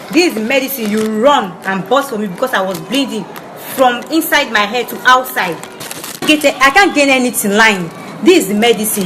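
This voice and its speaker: A woman partly of Nigerian background, not a native English speaker, ranting bluntly to camera in halting English with many restarts. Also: a little high, background noise. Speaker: vlogger. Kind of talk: direct-to-camera rant. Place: Ivory Coast.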